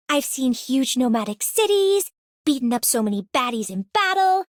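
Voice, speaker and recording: An upbeat young game adventurer. Her voice is very high and chatty, with a cheerful air, recorded close and dry. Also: no room sound. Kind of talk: scripted game character monologue.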